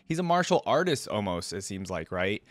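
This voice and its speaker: A man's voice: fairly low pitch, big pitch swings, moderate pace, dry recording. A man giving casual, conversational reaction commentary as a video host, thinking aloud as he goes.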